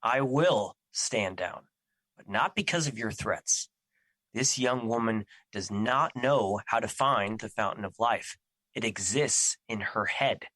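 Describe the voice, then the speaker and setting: A man playing Fear as an earnest, protective personified emotion in an audio drama, with formal, measured phrasing. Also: fairly low pitch, a bit bright, no echo, low-quality recording. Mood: firm, solemn.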